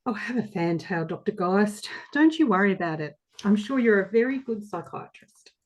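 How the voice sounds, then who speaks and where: A woman's voice: mid-range voice, lively intonation, moderate pace, natural voice. A woman playing a chatty, unbothered receptionist in a play reading, colloquial and matter-of-fact. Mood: reassuring. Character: chatty, casual, unbothered Australian female receptionist.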